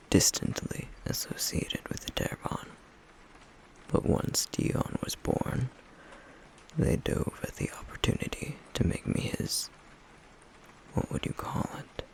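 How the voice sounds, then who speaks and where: A man playing a stoic, guarded flame demon in an ASMR roleplay, in a breathy, gravelly near-whisper with halting, measured pauses. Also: mid-range voice, a little mellow, exaggerated voice, rough recording.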